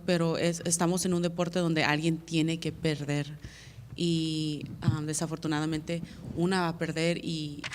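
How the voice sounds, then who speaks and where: A woman's voice: low-pitched, long reverb tail, slight background noise. An American woman fighter answering reporters' questions at a media day, earnest and plainspoken, often repeating words as she searches for them. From United States. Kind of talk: press conference answers.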